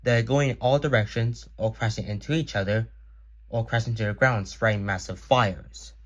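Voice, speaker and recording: A man's voice: on the low side, steady tone, normal speed. A man speaking as an emergency broadcast announcer, reading a scripted news announcement in a formal, solemn manner.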